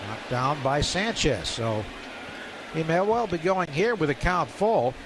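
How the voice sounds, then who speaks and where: Male radio baseball play-by-play announcer, higher-pitched, clipped delivery, live broadcast with heavy background noise. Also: a little slow. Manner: clipped phrases, rising to excited calls on big plays. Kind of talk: live radio sports commentary. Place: ballpark broadcast booth.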